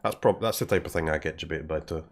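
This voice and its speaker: A man's voice: very deep voice, fast. Scottish man muttering through a game. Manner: thinking aloud, drops to a mutter, clears throat often. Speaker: game streamer. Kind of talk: casual live commentary while playing.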